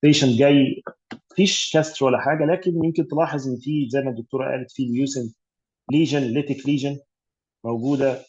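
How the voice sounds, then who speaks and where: A fast-paced Egyptian man talks through exam cases with many pauses and fillers. His voice is dark and mellow with a breathy, husky edge. The sound comes through a dull, lo-fi call line. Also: mid-range voice, steady tone. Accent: Egyptian Arabic. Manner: explanatory, mixes English medical terms, many fillers. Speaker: radiology tutor. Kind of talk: online exam revision teaching.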